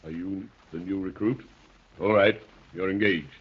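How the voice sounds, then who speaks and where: An actor playing a mysterious police chief, terse, solemn and commanding, in 1930s radio drama style. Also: male voice, low voice, steady tone, a little slow, breathy edge. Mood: grave, curt. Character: curt, grave, mysterious male police chief. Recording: muffled vintage radio recording.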